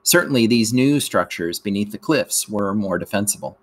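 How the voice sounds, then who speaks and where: A man reads educational narration aloud like a teacher, in measured, careful phrases with emphasis at sentence openings. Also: on the low side, animated. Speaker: teacher reading a lesson aloud.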